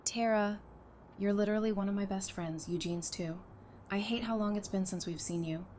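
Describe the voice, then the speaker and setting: A woman's voice: mid-range voice, moderate pace, a little mellow, a little hiss or hum, close to an everyday voice. A woman acting an affectionate, teasing young mother among survivors in an audio drama, speaking casually and sincerely. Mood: heartfelt.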